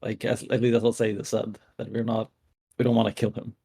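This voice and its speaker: A man's voice: lowish voice, level tone, a little fast, creaky, mellow, husky. A man in casual game chat as a player, thinking aloud in a hesitant way.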